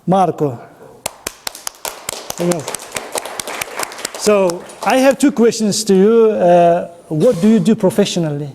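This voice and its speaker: A man with an Uzbek accent speaking halting, choppy English as he runs an informal interactive talk with an audience. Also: high voice, expressive, mellow, slight background noise. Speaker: conference speaker running an audience networking game.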